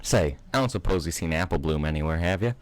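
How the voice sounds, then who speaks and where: A man voicing a cheerful young country farm mare in a put-on Southern drawl, pitching his voice up for her homespun talk. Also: expressive, fast talker. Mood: folksy. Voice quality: raised pitch over a low male voice. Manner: folksy, homespun turns of phrase.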